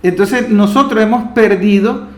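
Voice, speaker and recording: A man's voice: high voice, unhurried, darkish tone. A man hosting a radio talk, explaining reflectively and building emphatic lists. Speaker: radio host and interviewer. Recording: echoey room sound.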